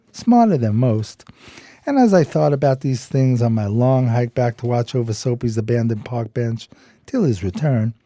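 An actor playing a dim, streetwise, loyal homeless sidekick in an American radio play, with hesitant, slangy street talk. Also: male voice, mid-range voice, mellow, no room sound. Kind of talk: radio play dialogue and narration. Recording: music behind the voice.

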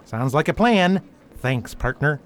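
A woman's voice: low-pitched, lively intonation, a little slow, a little hiss or hum. A woman playing a wry, guarded, protective war veteran turned detective in an audio drama. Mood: wry, awkward.

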